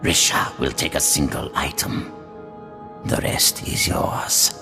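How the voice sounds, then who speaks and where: An actor playing a dying, ruthless old crime lord in a video game, speaking slowly and gravely with a breathy, gravelly voice. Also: male voice, rumbling low, music in the background, heavily acted. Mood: grave, weary. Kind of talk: video game character acting.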